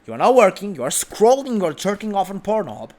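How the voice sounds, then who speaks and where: A man's voice: high voice, big pitch swings, fast, darkish tone. A man who is a non-native English speaker, delivering an informal, unscripted motivational monologue as a host, emphatic and repetitive. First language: not English.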